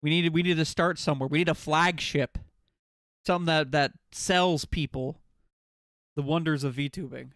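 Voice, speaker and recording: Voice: medium pitch, fairly even intonation, normal speed, a bit bright, no room sound. A game streamer chatting casually and jokingly with viewers, playful and given to swearing. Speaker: game livestreamer.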